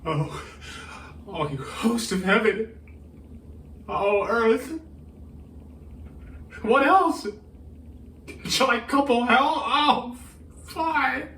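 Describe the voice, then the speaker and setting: A man playing a grieving, embittered prince in a theatrical Shakespearean soliloquy, halting and declamatory, moving between hushed brooding and anguished outcry. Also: very high voice, full character voice. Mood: shocked, fervent resolve. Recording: roomy, distant microphone, faint noise.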